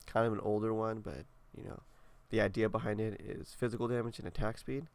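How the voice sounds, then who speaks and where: A young man talks in a relaxed, rambling way, filling gaps with casual fillers. His voice is congested and nasal. It sits fairly low and has a gravelly, fried edge. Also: fairly quick, no echo. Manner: laid-back, rambling, many fillers. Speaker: gaming video creator. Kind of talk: casual walkthrough commentary.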